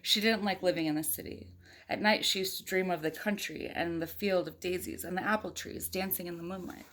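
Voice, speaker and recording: A woman's voice: lowish voice, fairly even intonation, a little fast, a bit bright. Woman reading a storybook aloud to kids. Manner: conversational, engaging, asks the listeners questions. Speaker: storyteller reading a picture book aloud to young children. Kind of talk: read-aloud storytelling with chatty asides to children.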